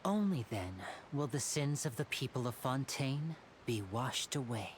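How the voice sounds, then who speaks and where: Low-pitched, suave young male magician. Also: animated, unhurried, a little hiss or hum. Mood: grave, explaining. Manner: polished, theatrical, gracious. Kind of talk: anime video game voice acting.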